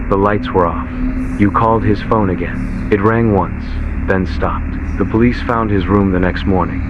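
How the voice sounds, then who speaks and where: Male horror narrator, low-pitched and ominous, on dull lo-fi tape-style audio with clear background noise. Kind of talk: scripted horror story narration in second person. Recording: lo-fi muffled VHS-style audio, heavy noise.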